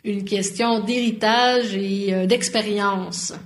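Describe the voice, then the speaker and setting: A woman hosts an interview at a middling pitch. She asks her questions in a measured, clear way. A roomy echo surrounds her voice. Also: fairly even intonation. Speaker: interviewer, host. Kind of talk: interview hosting.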